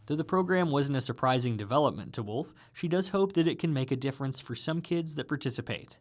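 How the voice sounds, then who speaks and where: A man's voice: mid-range voice, lively intonation, fast. A man narrating a scripted radio feature as a reporter.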